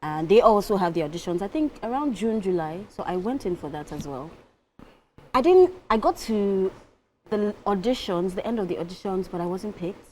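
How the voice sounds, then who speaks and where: A woman's voice: medium pitch, expressive, normal speed, warm tone, a little hiss or hum, poor audio. A Nigerian woman with an Igbo accent, a singer and actress in her thirties, chatting playfully as a guest on a morning talk show. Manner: laughs easily, playful. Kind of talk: casual talk show interview.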